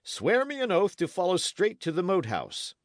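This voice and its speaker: A man voicing a forceful, boastful knight of old England in a storybook, with archaic, declamatory speech full of oaths. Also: mid-range voice, sing-song, unhurried, no room sound, studio quality, voice pushed up. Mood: brusque, commanding. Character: forceful, vengeful old-time English knight, boastful and commanding.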